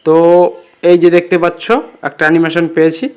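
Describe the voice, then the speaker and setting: Guy doing a screen tutorial, talks fast, clear smooth voice, keeps checking you follow. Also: fairly high pitch, monotone, a little mellow, highs cut off. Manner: instructional, repeatedly checking understanding. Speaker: tutorial host. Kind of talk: informal step-by-step screen tutorial narration.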